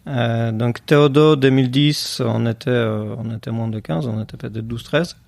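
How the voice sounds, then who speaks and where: A man's voice: medium pitch, moderate pace, creaky, darkish tone, dry recording. A man, a podcast guest talking casually and hesitantly with many fillers. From Poland. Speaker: guest, tech company cofounder.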